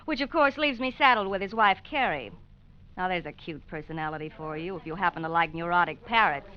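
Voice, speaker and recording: Bitter, sharp-tongued wife played by actress. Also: female voice, fairly low pitch, expressive, a little fast, smooth, natural voice. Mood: scornful. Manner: dry, cutting sarcasm. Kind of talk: 1940s-style radio drama acting. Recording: dull old radio recording.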